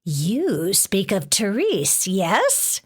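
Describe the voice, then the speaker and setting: A woman voicing a stern, scratchy-voiced female monster in a storybook, speaking slowly with cold menace. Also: medium pitch, wildly swooping pitch, slightly rough, heavily acted. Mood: scornful.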